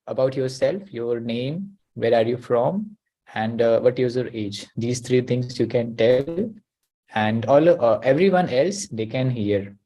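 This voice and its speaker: A man's voice: medium pitch, dark tone, muffled recording. A man hosting an online class over a video call. He talks hesitantly, with many uh and you know.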